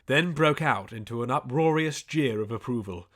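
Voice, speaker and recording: Man narrating an audiobook, medium pitch. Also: lively intonation, normal speed, a bit bright. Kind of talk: scripted comic fantasy narration.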